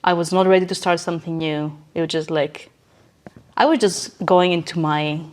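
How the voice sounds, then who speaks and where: A woman's voice: lowish voice, fairly even intonation, normal speed, a little mellow. A woman reflecting on personal experience in casual podcast conversation, emphatic and often checking in with the listener. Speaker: podcast guest.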